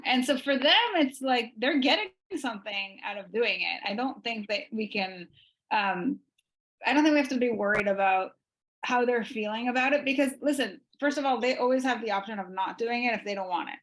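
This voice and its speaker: Female participant, measured explanation, a touch high in pitch. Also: fairly bright tone, rough recording. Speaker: participant on a training call. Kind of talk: group call discussion.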